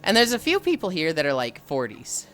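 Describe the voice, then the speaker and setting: Tired, sardonic woman streamer, lively pitch. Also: a little fast, soft background music. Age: 30s. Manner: sighing, sardonic, swears freely. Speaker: livestreamer. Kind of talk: casual stream chat.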